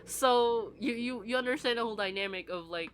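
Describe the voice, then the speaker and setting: A woman's voice: fairly high pitch, fairly quick. A woman in a casual, rambling fan discussion, talking as a podcast co-host.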